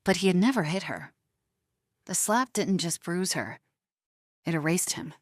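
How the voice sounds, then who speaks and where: A woman narrator delivering scripted romantic drama in a measured, emotive storytelling manner. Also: on the low side, sing-song, no echo, clean studio sound.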